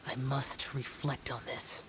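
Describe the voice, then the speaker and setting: A man's voice: mid-range voice, level tone, slow, noisy background, natural voice. A man voicing a solemn, aloof, youthful demon-hunting immortal in a video game, with grave, formal, terse lines. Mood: grave, brooding. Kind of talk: English video game voice acting. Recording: game music behind the voice. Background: background game music.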